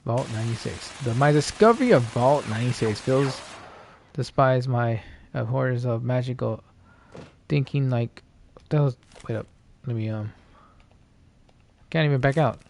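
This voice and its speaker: A man with a medium-pitched voice streams a game and comments casually as he goes. He thinks aloud, in a halting, offhand flow. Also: creaky, a little mellow, a little hiss or hum. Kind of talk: casual live gameplay commentary.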